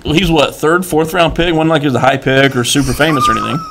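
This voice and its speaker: A man's voice: fairly high pitch, quick pace, noisy background. A man co-hosting a casual sports talk show, posing analytical questions.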